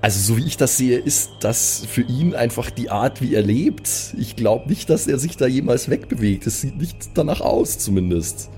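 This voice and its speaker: A man with a mid-pitched, mellow voice improvises as a fantasy game player. He speaks in a hesitant, cautious way over faint music. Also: animated, normal speed, few pauses. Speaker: role-playing game player. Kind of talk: improvised in-character chat. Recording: music behind the voice.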